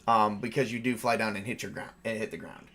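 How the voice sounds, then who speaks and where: A man's voice: lowish voice, steady tone, fast talker, sharp tone, a little hiss or hum. A man narrating a tabletop horror game as game master in a casual, improvised and informal way. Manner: informal, improvising with mild swearing.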